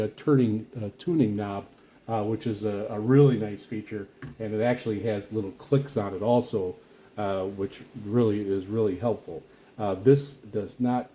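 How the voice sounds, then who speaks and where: A man giving a casual, rambling product walkthrough as a reviewer, speaking in a halting, explanatory way. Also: on the low side, dark tone. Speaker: radio reviewer and vlogger.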